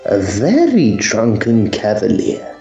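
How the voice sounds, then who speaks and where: A man playing a measured Edwardian occult detective, dry and understated, in a tongue-in-cheek audio drama. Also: fairly low pitch, wildly swooping pitch, gravelly, warm tone, reverberant, lo-fi sound, faint music behind, full character voice. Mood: dry, curious.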